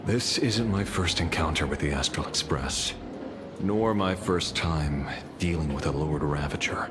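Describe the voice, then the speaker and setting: Deep-voiced, calculating rebel leader. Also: male voice. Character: calm, calculating man, former rebel leader and schemer. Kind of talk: video game story dialogue. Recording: loud music under the voice.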